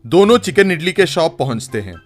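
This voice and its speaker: A man's voice: fairly high pitch, very animated, fairly quick, faint music behind. A man reading a scripted story as its narrator.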